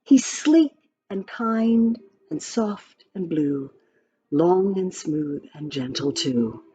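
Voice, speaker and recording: A woman reading a children's picture book aloud in an expressive, sing-song way, adding chatty asides about the pictures. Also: mid-range voice. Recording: slightly dull lo-fi, music behind. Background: music.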